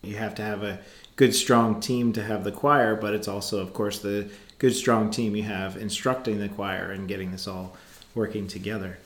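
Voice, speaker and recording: Male host, a bit low, curious questions, echoey room. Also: steady tone, moderate pace. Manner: curious, affirming. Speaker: interviewer. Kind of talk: interview questions.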